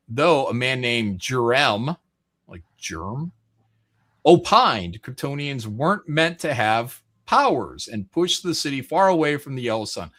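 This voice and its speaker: A man hosting a livestream, chatting casually and reading aloud haltingly, with wry, sweary asides. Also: mid-range voice, fairly bright tone. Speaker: livestream host.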